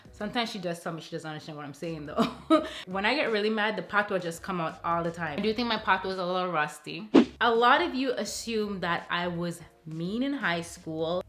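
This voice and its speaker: A woman's voice: on the low side, sharp tone. A woman in her thirties with a Jamaican accent, talking casually to camera in a playful, chatty way and laughing often. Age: 30s. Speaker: YouTuber. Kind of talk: casual Q&A vlog. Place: sitting alone in a room at home. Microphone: camera mic, talking straight to camera.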